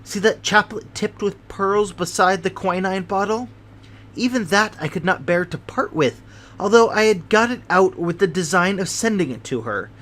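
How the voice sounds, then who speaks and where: A man's voice: high-pitched, lively intonation, moderate pace, a bit bright. A man voicing a dying, guilt-ridden old major. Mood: confessing.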